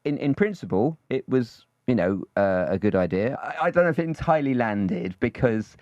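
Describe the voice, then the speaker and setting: A man's voice: medium pitch, lively intonation, normal speed, darkish tone, dry recording, dull audio. A man co-hosting a podcast in casual chat, rambling and hesitant, with many restarts. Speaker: podcast co-host.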